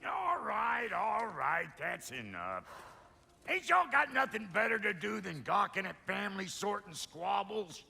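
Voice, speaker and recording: An actor playing a gruff, cantankerous dwarf blacksmith with a Southern US accent and a gravelly, folksy voice in a video game. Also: male voice, high voice, sing-song, unhurried, bright, forceful tone, full character voice. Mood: bossy, irritated. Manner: folksy, blunt, bossy. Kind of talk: cinematic video game acting.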